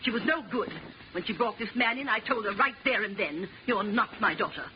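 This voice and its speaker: Haughty, nagging mother female actor, highly animated intonation, imperious, vintage radio drama, dull sound with light noise. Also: a little fast, natural voice. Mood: cold, indignant. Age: middle-aged or older. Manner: imperious, fussy. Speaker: supporting actor. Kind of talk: 1950s radio drama acting. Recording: muffled old radio recording, faint hiss.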